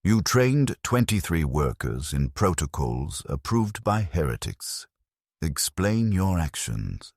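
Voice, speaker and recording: Tech priest interrogator , performed by a man: bottomless low register, rough-edged, stern, formal, condemning, sci-fi audio drama. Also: sing-song, slow, no echo, studio quality, heavily acted. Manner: stern, pronouncing, formal zealot. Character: cold accusing tech priest interrogator in bronze mask.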